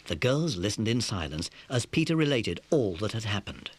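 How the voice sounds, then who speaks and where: Lively lowish-voiced male storyteller. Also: moderate pace. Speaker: narrator. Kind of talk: scripted children's story narration.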